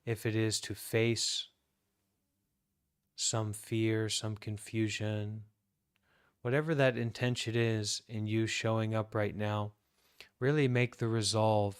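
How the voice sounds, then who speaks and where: An American man speaks as a meditation teacher in a smooth, even voice that stays within a narrow range. He is calm and deliberate, leaving long pauses between short phrases, and the sound is dry and close. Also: fairly low pitch, dry recording. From United States. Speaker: Buddhist monk leading guided meditation. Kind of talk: guided meditation talk.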